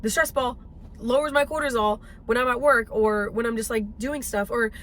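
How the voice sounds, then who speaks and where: Young woman vlogging from her car, fairly high voice, punchy and blunt, faint road hum. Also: moderate pace, fairly bright tone. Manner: chatty, emphatic, blunt and swears. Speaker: fitness vlogger. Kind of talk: casual vlog chat while driving. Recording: faint car noise behind.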